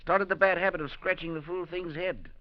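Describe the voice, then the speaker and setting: An actor playing a brusque, self-sufficient scholar who speaks in curt, clipped phrases in 1940s radio-drama style. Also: male voice, high-pitched, steady tone, brisk, close to an everyday voice. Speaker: professor. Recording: dull old radio broadcast.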